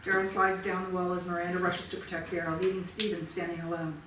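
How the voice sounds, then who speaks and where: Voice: medium pitch, monotone, fast talker. A person reading scripted stage directions aloud at a staged reading of a play. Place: theatre stage, recorded from a distance. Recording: distant mic, echoey theatre, clear noise.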